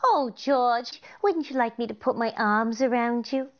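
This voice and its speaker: A woman's voice: a little high, unhurried, muffled recording, lightly acted. A middle-aged woman playing a sweet, scatterbrained comedian wife in a 1940s radio comedy, with innocent, earnest literal-mindedness. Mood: hopeful, exasperated.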